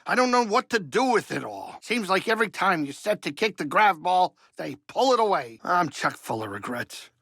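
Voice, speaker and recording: A creaky, high-voiced gruff alien pilot. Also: male voice, very animated, normal speed, hardly any pauses, pushed and bright, no room sound, exaggerated voice. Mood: downcast, regretful. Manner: wisecracking, folksy, old-time wise-guy slang. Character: gruff, excitable, soft-hearted older alien pilot turned cantina cook. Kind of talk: video game character dialogue.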